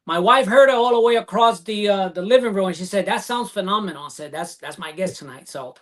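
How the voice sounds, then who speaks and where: A man hosting an online interview show over a video call, effusive and halting with many fillers and restarts. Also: sits high. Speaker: show host.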